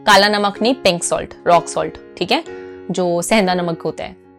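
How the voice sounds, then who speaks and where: A woman's voice: lowish voice, moderate pace, a bit bright. Emphatic Indian woman coaching over music. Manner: emphatic, repeats key phrases, frequent check-in questions; mixes Hindi with English phrases. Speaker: anchor giving voice coaching. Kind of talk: informal instructional video talk to viewers.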